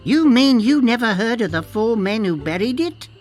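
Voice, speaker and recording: Actress as a lively, knowing island mapmaker woman. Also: on the high side, moderate pace, faint music behind, close to an everyday voice. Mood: storytelling. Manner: chatty, conspiratorial storytelling. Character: cagey, knowing woman cartographer on a pirate island. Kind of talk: video game dialogue acting.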